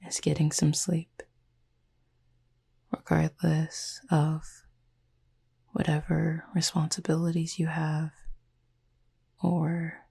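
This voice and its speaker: Woman acting as a gentle Cupid roommate, very husky and breathy, heavy vocal fry, slow, soft, reassuring, ASMR audio roleplay. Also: low voice, heavily acted. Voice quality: breathy, husky, creaky near-whisper. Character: gentle, composed Cupid roommate soothing someone to sleep.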